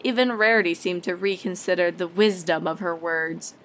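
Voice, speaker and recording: A woman's voice: on the low side, moderate pace, low-quality recording. A woman reading scripted narration of a comic story as the storyteller.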